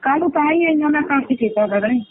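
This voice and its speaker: A woman's voice: high voice, fast talker. A woman with a Malwa Punjabi accent talking casually and assertively on a phone call, insisting on being heard. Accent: Punjabi, Malwa region. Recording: noisy, tinny phone call recording.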